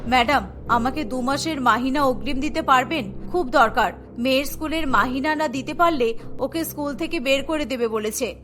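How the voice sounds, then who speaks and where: A woman's voice: high voice, moderate pace, fairly bright tone, soft background music, pitched below the performer's own voice. A woman voicing a poor, anxious working mother in a cartoon, humble and worried. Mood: pleading. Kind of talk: cartoon dialogue.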